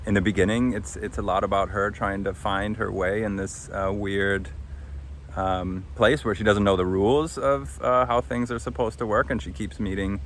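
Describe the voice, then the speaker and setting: Male podcast host, lowish voice, hesitant unscripted delivery, recorded outdoors with slight ambient noise. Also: steady tone. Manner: hesitant, halting with long pauses. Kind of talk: casual unscripted recap. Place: outdoors under a tree.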